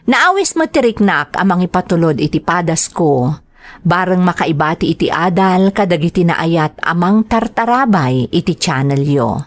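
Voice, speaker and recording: A woman's voice: lowish voice, no echo. A woman with an Ilocano accent hosting a drama programme, reading out greetings and listener letters.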